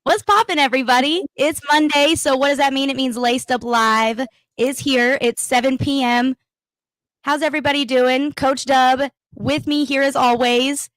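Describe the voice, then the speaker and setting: A woman hosting a casual sports talk show, upbeat and excitable. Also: high voice, no room sound, low-quality recording. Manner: upbeat, hyped, quick to laugh. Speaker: podcast co-host.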